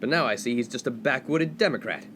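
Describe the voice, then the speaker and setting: A man's voice: medium pitch, animated, fast talker, natural voice. A male actor plays a young upper-class college man of the 1950s. He is pompous and easily outraged, scolding like an offended snob. Faint noise sits behind the comic radio-play voice. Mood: indignant, scolding. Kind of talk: comedic radio play acting.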